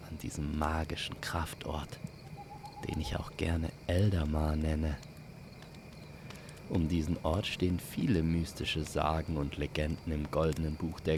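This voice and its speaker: A man telling a scripted fantasy story in a calm, unhurried, soothing way as storyteller and host. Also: rumbling low, noisy background.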